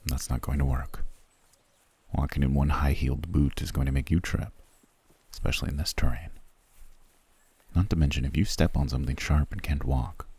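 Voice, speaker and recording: A man playing a cold, haughty soldier prince in a fantasy audio roleplay, curt and disdainful, with a gruff, breathy voice. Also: mellow, exaggerated voice. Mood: grudgingly protective. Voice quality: very deep, gravelly, breathy. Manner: curt, disdainful, dry, low-key grumbling. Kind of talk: ASMR roleplay acting.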